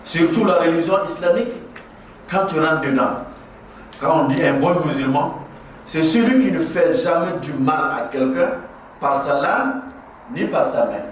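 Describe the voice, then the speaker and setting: A man's voice: on the high side, animated, normal speed, a little hiss or hum. A middle-aged man from Burkina Faso, giving an impassioned ceremonial speech at a mosque inauguration and driving his points home through repetition. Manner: impassioned, preaching with rhetorical repetition. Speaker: guest speaker at a mosque inauguration. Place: large echoing prayer hall. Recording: very echoey hall, voice far from the mic.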